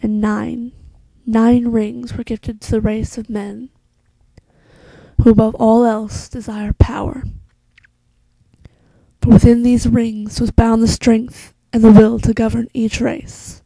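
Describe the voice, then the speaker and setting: Woman performing a hushed, creaky, solemn elf narrator. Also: fairly high pitch, little pitch movement, takes it slowly, no echo, heavily acted, low-quality recording. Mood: solemn, foreboding. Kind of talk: slow dramatic fantasy monologue.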